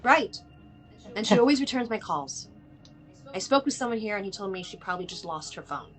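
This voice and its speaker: A woman's voice: medium pitch, animated, quick pace, faint music behind, close to an everyday voice. A woman playing a frustrated, worried mother who bluntly demands help from the police, in a film table read. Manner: blunt, exasperated, sharp-tongued.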